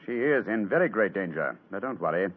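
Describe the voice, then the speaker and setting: Radio drama sleuth: British gentleman, animated, assured, lofty. Thin vintage recording. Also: low voice, brisk. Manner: crisp, superior, theatrical. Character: brisk, confident, amused gentleman detective. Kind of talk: old-time radio drama acting. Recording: tinny, noisy old radio recording.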